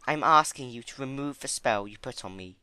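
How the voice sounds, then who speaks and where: A man voicing a timid, gentle female pony with a soft, hesitant, earnest delivery in a story reading. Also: mid-range voice, expressive, brisk, smooth, dry recording, voice pushed up. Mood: apologetic. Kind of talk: dramatic fan-fiction reading.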